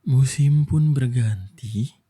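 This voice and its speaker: A young man gives a gentle, soothing ASMR bedtime delivery in a soft, breathy voice. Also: medium pitch. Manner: slow, gentle, soothing delivery with long pauses. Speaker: ASMR voice actor telling a bedtime story as a boyfriend.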